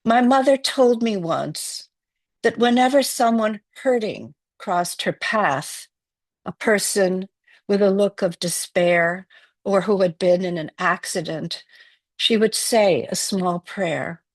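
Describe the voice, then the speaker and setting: A woman from Wales whose first language is Welsh, giving a poetry reading in a measured, reflective way. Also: mid-range voice, no room sound. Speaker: poet.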